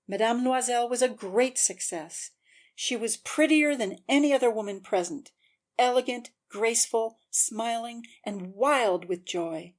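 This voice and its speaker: A woman's voice: on the high side, a little mellow. A woman narrator giving a scripted, dramatic audiobook reading.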